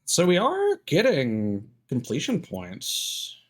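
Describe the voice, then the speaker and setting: A man's voice: fairly high pitch, huge pitch swings, slow. A man giving casual, rambling and opinionated commentary as a game livestreamer. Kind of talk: casual gaming stream commentary. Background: music.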